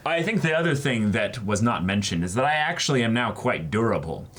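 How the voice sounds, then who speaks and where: A man's voice: medium pitch, a little fast. A man co-hosting a show, chatting casually and playfully, often repeating himself emphatically as he makes his point. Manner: animated, repeats phrases and restarts emphatically. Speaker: co-host of an unboxing show.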